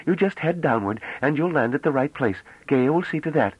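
A man reading a novel aloud, voicing a chatty, naive young woman who talks rapidly and almost breathlessly. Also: on the high side, expressive, slightly rough, no room sound, pitched above the performer's own voice. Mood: eager. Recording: dull lo-fi dry audio.

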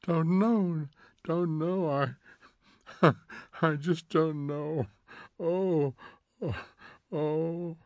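A man's voice: a little high, fairly even intonation, very slow, long pauses, darkish tone, no echo, highs cut off, pitched above the performer's own voice. A man voicing a fragile middle-aged woman who sobs and falters. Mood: sobbing. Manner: sobbing, faltering.

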